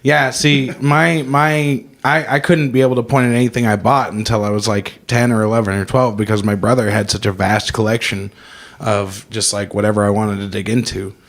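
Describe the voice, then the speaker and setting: Guy about 30 on community radio, thoughtful, lots of yeahs, lowish voice. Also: steady tone, normal speed. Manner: agreeable, thoughtful, lots of affirmations. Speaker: radio interviewer. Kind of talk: casual interview.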